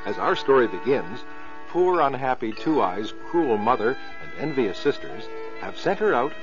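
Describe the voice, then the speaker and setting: A man's voice: mid-range voice, very animated, moderate pace, music in the background. A man hosting and narrating a children's radio story in a genial storytelling manner, on a dull lo-fi recording. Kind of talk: old-time radio storytelling.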